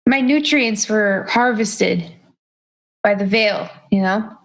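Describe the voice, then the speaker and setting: A woman's voice: medium pitch, slow. A woman co-hosting a casual gaming podcast, playful and teasing with dry jokes. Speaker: podcast co-host.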